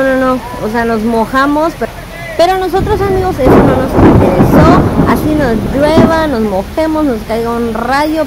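A Mexican woman in her thirties talks to her viewers in a chatty, casual vlog style. Her voice sits fairly high, and the phone recording has clear background noise. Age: 30s. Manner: chatty, addresses viewers as friends. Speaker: family vlogger.

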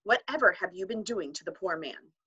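A woman's voice: medium pitch, lively intonation, fairly quick, sharp tone, husky, highs cut off. A woman voicing a fussy, old-fashioned woman teacher who speaks in prim exclamations while reading a storybook aloud. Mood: flustered.

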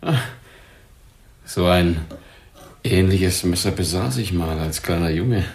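Low-voiced, brooding mother's son. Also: darkish tone, echoey room, a little hiss or hum. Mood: brooding, hesitant. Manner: halting, subdued. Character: brooding, hesitant son smothered by his mother. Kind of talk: radio drama acting.

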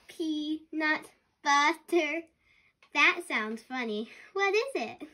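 Young girl as storybook narwhal, silly, cheerful, exuberant, high voice, slow delivery, swooping pitch. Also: very animated, sharp tone, exaggerated voice. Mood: cheerful, curious. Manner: playful, exuberant, exclaiming.

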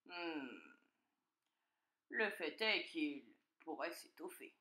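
Woman playing a gloating, hungry fox, theatrically animated. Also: sits low, extremely slow, echoey room, pitched below the performer's own voice, exaggerated voice. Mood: pondering. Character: hungry, boastful, gullible male fox. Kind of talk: children's storybook character voice.

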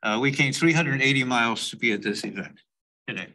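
A man in the audience speaks up from across a large hall, his voice thin and distant from the mic. His tone is bright and a little sharp, and he chats and jokes easily. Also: medium pitch, brisk. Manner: chatty, joking. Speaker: audience member.

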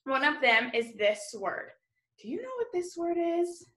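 A young woman teaching small children in a video lesson, animated and encouraging, spelling out and enunciating words as she invites them to repeat. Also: sits high, unhurried. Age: young adult. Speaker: elementary school teacher. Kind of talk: early-grade video lesson to children, call and response. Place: room in a home, indoors.

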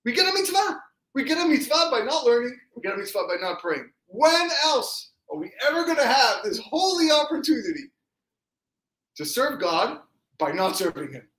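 A man's voice: fairly high pitch, expressive, normal speed, a bit bright, voice pushed up. A man voicing an earnest, fervent rabbi in a religious story, insistent and repeating key phrases. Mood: amazed, exultant. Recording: echoey room.

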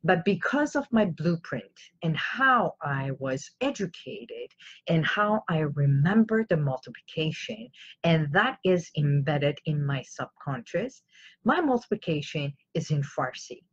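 A woman with a notably low, husky voice speaks at a very unhurried pace. She is a native Armenian speaker, giving an informal motivational talk in an emphatic, explanatory way and putting questions to her viewers. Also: sing-song, highs cut off. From Iran. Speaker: host of a self-help live show.

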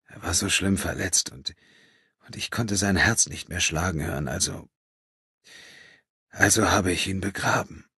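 A man voicing a guilt-ridden, bitter father in an audiobook, speaking haltingly with a low, trembling voice full of grief. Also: sharp tone, slightly rough, no echo, clean studio sound, full character voice. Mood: shaken, trembling.

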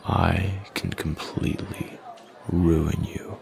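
A man playing a smug, menacing young male bully in an intimate audio roleplay, taunting with slow, deliberate threats in a deep, gravelly voice. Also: flat delivery, a little mellow, some chatter behind, heavily acted. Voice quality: deep, gravelly, breathy at line ends.

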